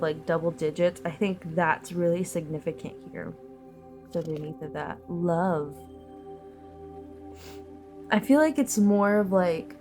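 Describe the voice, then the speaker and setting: Slow, nasal woman with a cold. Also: fairly low pitch, darkish tone. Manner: meandering and thoughtful, with many fillers and pauses. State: sick, nasal and sniffly. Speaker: tarot reader. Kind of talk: slow, reflective collective tarot reading.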